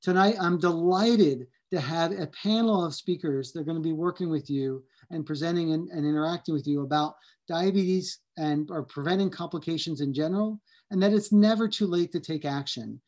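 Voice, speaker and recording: A middle-aged man, a physician, welcoming people to a community health webinar over a video call, friendly and unhurried with frequent fillers. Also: a little high, a little mellow. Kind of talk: opening remarks and introductions.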